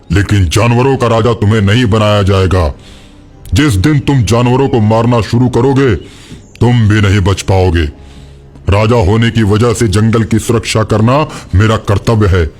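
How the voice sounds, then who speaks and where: A man's voice: on the low side, normal speed, a little mellow, lightly acted. A man voicing a proud, dutiful cartoon lion king with a firm, solemn manner. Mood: defiant, firm. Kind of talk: children's cartoon acting. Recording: music behind the voice.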